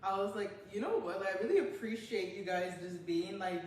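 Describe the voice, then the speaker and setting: A woman's voice: mid-range voice, a little fast. A woman in her early twenties, co-hosting a podcast in casual, slangy chat, laughing often. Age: 20s. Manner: slangy, laughing, frequent "like" fillers. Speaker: podcast co-host. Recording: echoey room, mic at a distance, faint noise.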